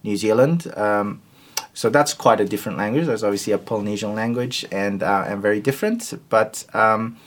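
A man whose first language is Turkish answers interview questions in a lowish voice. He talks slowly and thoughtfully, pausing often and restarting his sentences. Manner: hesitant, many ums and restarts. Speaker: guest. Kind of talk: conversational interview.

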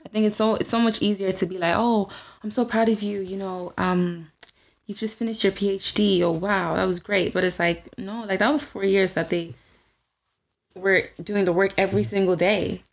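Gravelly-voiced woman. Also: medium pitch, steady tone, normal speed. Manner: emphatic, laughing, often quotes people. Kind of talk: casual podcast chat.

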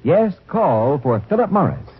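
A man's voice: on the high side, sing-song, a little slow, husky. A man reading a 1940s radio commercial, persuasive and emphatic. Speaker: commercial announcer. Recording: tinny old radio broadcast.

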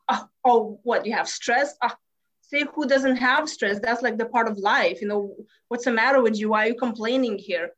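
Lithuanian-accented woman, high and muffled. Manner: animated, many fillers, slightly broken English. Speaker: stress management coach. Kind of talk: casual interview storytelling.

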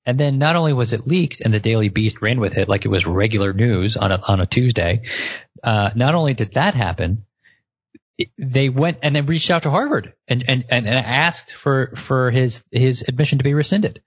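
A man in his late twenties co-hosting a casual chat, animated and often repeating words as he starts a thought. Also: mid-range voice, moderate pace, husky, no room sound. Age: 20s. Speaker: podcast co-host. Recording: muffled lo-fi audio.

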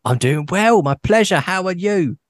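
A man hosting a podcast, chatting casually with a guest, playful and quick to laugh. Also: sits high, animated, dry recording, studio quality. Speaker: podcast host. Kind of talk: casual interview chat.

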